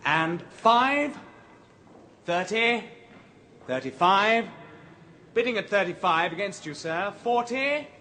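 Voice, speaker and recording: A formal auctioneer with a high, lively voice. He calls lots and bids at a measured pace, as in an old radio drama. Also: slight background noise, exaggerated voice. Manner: formal, rapid bidding calls. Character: brisk auctioneer at a London sale.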